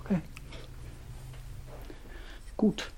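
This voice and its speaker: An actor playing a couples therapist in a German audio drama, speaking deliberately with pauses and giving directions. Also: male voice, a little mellow, a little hiss or hum. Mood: measured, frank. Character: probing, measured male couples therapist.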